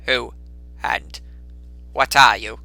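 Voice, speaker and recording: A man voicing a nervous old miser in a dramatic storybook reading, speaking in an emphatic, halting, apprehensive way. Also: medium pitch, fairly even intonation, vocal fry, sharp tone, no room sound, exaggerated voice. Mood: wary, demanding.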